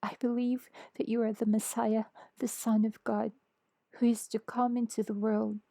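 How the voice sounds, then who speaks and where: A woman reader voicing an earnest, grieving woman of faith in a scripture story, with a soft, pleading, reverent delivery. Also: on the high side, flat delivery, moderate pace, vocal fry, no echo, studio quality, pitched above the performer's own voice. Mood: earnest, devout.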